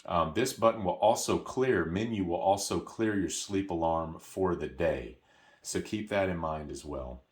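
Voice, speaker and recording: A man's voice: sits low, measured pace. A man presenting an unscripted step-by-step product walkthrough, explaining in a hesitant way and correcting himself as he goes.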